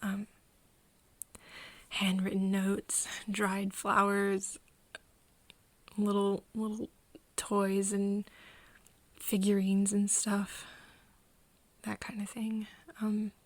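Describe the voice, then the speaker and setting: A woman playing an earnest young woman confiding in a friend in an ASMR roleplay, speaking softly and haltingly, often in a whisper. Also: mid-range voice, monotone, dry recording. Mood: sad, hesitant. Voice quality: soft, breathy, often whispering. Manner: halting, hesitant confiding with pauses. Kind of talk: ASMR roleplay, intimate one-sided conversation.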